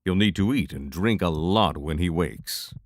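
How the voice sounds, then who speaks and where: A man playing a steady, practical, protective adventuring companion in a fantasy audio drama. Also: low-pitched, unhurried. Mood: matter-of-fact.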